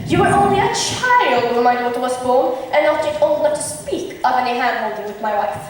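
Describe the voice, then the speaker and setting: Woman acting a gracious old governor, high voice, echoey. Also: animated, fairly quick. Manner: formal, courteous. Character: courteous old governor, male, gracious host and father. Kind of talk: amateur stage acting of modernised Shakespeare comedy. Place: large hall, distant microphone at a stage play. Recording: echoey hall from far off, faint noise.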